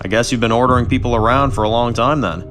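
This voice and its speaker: A man's voice: medium pitch, fast talker, fairly bright tone, faint music behind, natural voice. A man voicing an easygoing, curious survivor who admires the leader.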